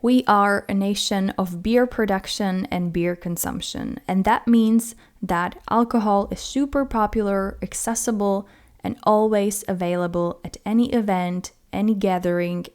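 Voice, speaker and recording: A woman's voice: mid-range voice, normal speed. Earnest woman podcaster. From Czech Republic. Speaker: podcast host. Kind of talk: scripted solo podcast monologue.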